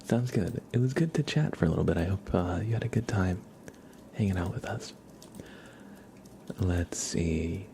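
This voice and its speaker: A man hosting an ASMR livestream, chatting casually in a soft, hushed voice that often slips into a whisper. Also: sits low, normal speed, vocal fry, long pauses, slight background noise. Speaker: livestream host. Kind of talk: ASMR, casual chat with viewers.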